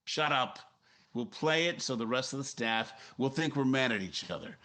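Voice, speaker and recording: An actor playing a brisk, commanding newspaper editor in a 1950s-style radio drama, crisp and authoritative. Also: male voice, mid-range voice, expressive, sharp tone. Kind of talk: 1950s radio drama acting with first-person narration.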